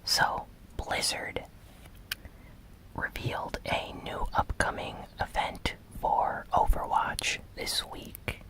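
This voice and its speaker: ASMR news host needed: fully whispered, breathy, very slow and deliberate. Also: rough recording. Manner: slow, soft, deliberate delivery with pauses. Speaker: host of a gaming news show.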